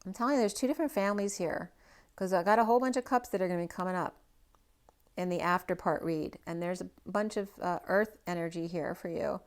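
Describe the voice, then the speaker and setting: A woman's voice: fairly low pitch, fast talker. Rambling woman reading tarot cards. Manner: loose, rambling, piling up possibilities; thinks aloud with frequent "right?" asides. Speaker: tarot reader. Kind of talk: general tarot reading for a star sign.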